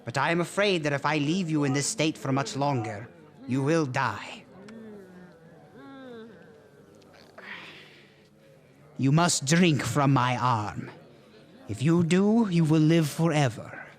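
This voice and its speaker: A man's voice: a little high, lively intonation, a little slow. An actor playing a courtly, easily flustered vampire father with formal, stilted phrasing in a campy horror comedy. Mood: grave, solemn.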